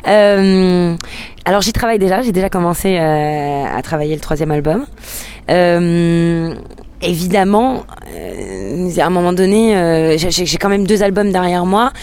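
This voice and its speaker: A woman's voice: lowish voice, unhurried, a little mellow, clean tone. A woman whose first language is English, a singer-songwriter answering interview questions in a hesitant way, with many filler sounds and restarts.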